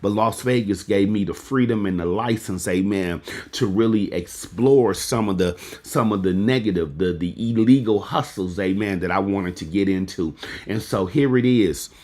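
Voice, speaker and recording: A man's voice: fairly low pitch, normal speed, a little mellow. A man from Michigan giving a motivational talk in a preacher's cadence, full of amens and repeated phrases for emphasis, like a reverend hosting a show. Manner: preacherly cadence, peppered with "amen". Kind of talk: preacher-style motivational talk, call and response.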